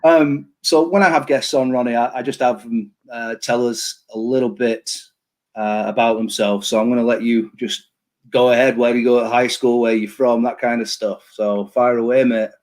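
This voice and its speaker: Host, man, chatty, hypes the guest, mid pitch, lots of ums. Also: steady tone, normal speed, a little mellow. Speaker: show host. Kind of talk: casual online interview show.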